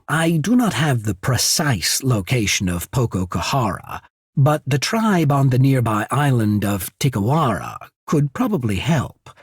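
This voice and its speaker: Courteous game strategist, husky, formal and measured, subtly calculating, lively pitch movement, dry close sound. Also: male voice, no echo. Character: cool, shrewd, courteous male military strategist and official. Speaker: video game character voice. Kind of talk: scripted game character dialogue.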